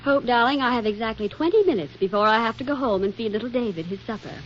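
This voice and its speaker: An actress playing a brisk, wry young housewife and devoted mother in a 1940s radio comedy, with a theatrical delivery. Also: on the high side, lively intonation, close to an everyday voice. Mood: brisk, curious. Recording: dull, faintly noisy 1940s radio recording.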